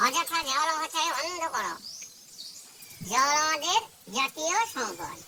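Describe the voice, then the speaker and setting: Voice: high-pitched, big pitch swings, takes it slowly. A presenter giving a scripted awareness talk for a school puppet show, instructing children in a didactic way. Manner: didactic, instructing children and checking they understand. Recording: distant microphone with clear background noise.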